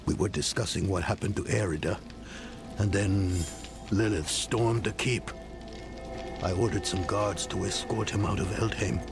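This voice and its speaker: An actor playing a weary, solemn older guardian and father in a fantasy game, speaking gravely with a gravelly voice. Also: sits low. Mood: worried. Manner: grave, measured. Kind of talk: dark fantasy game dialogue acting. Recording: music behind the voice. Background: music.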